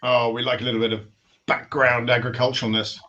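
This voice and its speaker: A man's voice: medium pitch, little pitch movement, fast talker, bright, forceful tone. A man with an English accent hosting a casual, bantering online interview over a video call. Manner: jokey, bantering. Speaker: host. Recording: slightly dull, lo-fi call audio.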